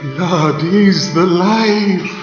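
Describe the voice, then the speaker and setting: A man acting a crazed, devoted servant of a vampire master in a live radio play, chanting slowly and fervently over music in a dull recording. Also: high-pitched. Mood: fanatical devotion.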